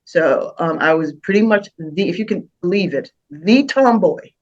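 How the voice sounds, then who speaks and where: A woman's voice: fairly low pitch, expressive, normal speed, highs cut off. An older woman from the Bahamas with a Bahamian accent tinged with American, telling stories as a guest in a casual interview, with frequent laughs and restarts. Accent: Bahamian with American touches. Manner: warm storytelling, laughs often, many restarts. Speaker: guest singer.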